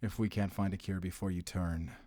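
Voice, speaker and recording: A man's voice: deep voice, fairly even intonation, a little fast, dark tone. A man playing a guarded, protective vampire slayer in an audio roleplay, speaking frankly and sternly. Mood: grave, stern. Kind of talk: cinematic audio roleplay addressed to the listener.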